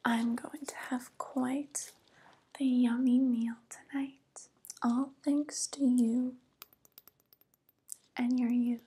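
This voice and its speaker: A young woman playing a vain, sly witch in a soft-spoken ASMR roleplay, breathy and whispery, cooing with false tenderness close to the microphone. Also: on the high side, little pitch movement, exaggerated voice. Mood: pleased, sly. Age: young adult. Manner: slow, cooing, gently condescending. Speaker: ASMR performer.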